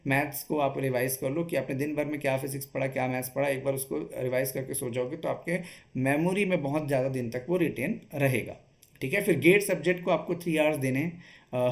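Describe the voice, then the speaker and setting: A man's voice: on the high side, darkish tone. A man giving an instructional study-strategy talk as an exam coach, explaining step by step and often checking in with short tag questions. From Chhattisgarh, India.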